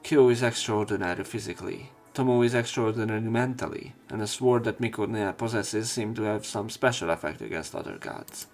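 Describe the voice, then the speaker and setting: A man reading visual novel lines aloud as let's play narration, halting at times and correcting himself. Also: on the low side. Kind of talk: read-aloud narration and commentary of game dialogue. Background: game music.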